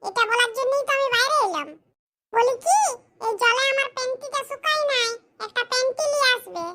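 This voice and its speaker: A performer voicing a chatty, demanding newlywed village wife in a comedy cartoon, with a pitched-up voice. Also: animated, a little fast, very noisy. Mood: coaxing, chatty.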